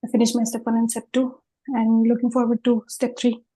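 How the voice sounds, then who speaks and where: Woman from India, warm mellow tone, flat pitch, organized. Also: fairly quick, low-quality recording. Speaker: meeting participant. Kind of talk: self-introduction on a video call. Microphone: video call.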